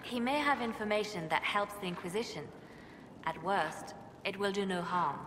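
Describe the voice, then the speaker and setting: An actress playing a composed, devoted spymaster in a fantasy game, with a measured, restrained delivery. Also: female voice, mid-range voice, reverberant, big-room sound, background noise, natural voice. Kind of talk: scripted fantasy game dialogue.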